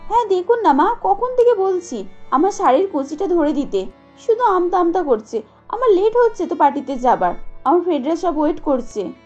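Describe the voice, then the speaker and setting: A woman's voice: very high-pitched, very animated, normal speed, a little mellow, muffled recording, soft background music, voice pushed up. A woman voicing a spiteful, rich young sister-in-law in a cartoon story, bossy, impatient and scolding. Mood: haughty, impatient.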